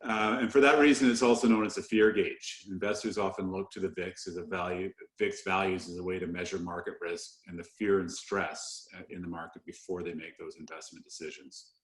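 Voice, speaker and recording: A man's voice: low voice, steady tone, fairly quick, few pauses. A man explaining markets as a portfolio manager on a conference call. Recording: roomy, echoing conference call.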